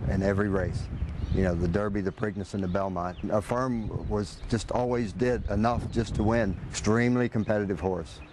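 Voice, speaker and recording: A man's voice: on the low side, steady tone, normal speed, noisy background. A man giving unscripted interview comments in a plain conversational style. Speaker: horse racing interviewee.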